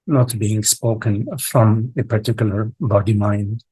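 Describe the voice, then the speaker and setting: A man with a lowish, husky voice guides a meditation. He speaks in short, halting phrases and leaves long pauses between them. The sound is dry and close. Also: fairly even intonation, dark tone, no echo, poor audio. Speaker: meditation teacher leading a live online session. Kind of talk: guided meditation, slow contemplative speech.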